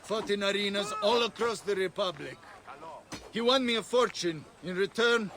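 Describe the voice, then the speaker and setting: A man's voice: high-pitched, moderate pace, a bit bright. A man acting a coarse, boastful arena fight promoter in a video game.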